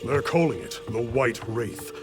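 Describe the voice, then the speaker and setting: An actor playing a composed guild superior in a game cutscene, speaking formally and with measured deliberation. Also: male voice, medium pitch, animated, over loud music, lightly acted. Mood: grave, measured. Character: composed, authoritative male guild superior giving orders. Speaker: guild official briefing a hunter. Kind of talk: cinematic game cutscene briefing.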